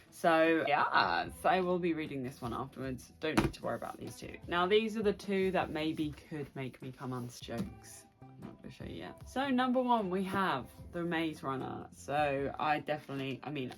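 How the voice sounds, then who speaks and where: A young British woman chatting casually and excitably to her viewers as a book vlogger. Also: sits low, creaky. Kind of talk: casual chatty vlog to camera.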